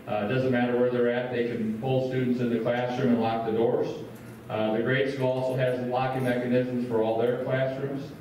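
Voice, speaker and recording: A man speaks at a podium in a big school meeting room. His voice sits at an ordinary pitch and comes from some way off the mic. It rings with a strong echo and a faint hiss. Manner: plain, steady, many filler words. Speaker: school superintendent. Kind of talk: public meeting address. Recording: roomy echo, distant from the mic.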